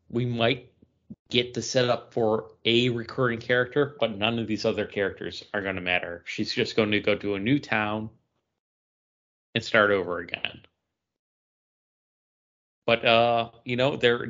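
Low-voiced man in casual podcast talk. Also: pushed and bright. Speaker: podcast co-host reviewing comics. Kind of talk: casual panel discussion. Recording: dull lo-fi audio.

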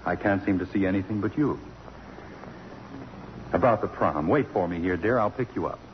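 An actor playing a genial, romantic middle-aged college president with a soothing, slightly hesitant manner in a 1950s radio drama. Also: male voice, low-pitched, moderate pace, lightly acted. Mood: tender. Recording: dull, faintly noisy old radio recording.

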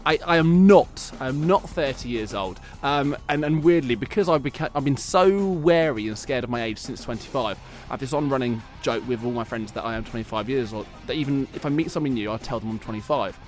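A man's voice: medium pitch, brisk, few pauses, faint music behind. A man in his late twenties hosting a casual sports radio chat, joking about himself and laughing often. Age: late 20s. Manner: chatty, laughs often, self-mocking. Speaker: radio show host.

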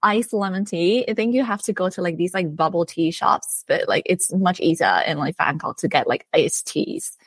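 A woman's voice: lowish voice, lively intonation, normal speed, hardly any pauses, slightly rough, dry recording. A young woman from Thailand, an author being interviewed, chatty and friendly, full of "like" and "um". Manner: friendly, chatty, many "like" and "um" fillers. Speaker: author and guest. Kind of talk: casual interview chat.

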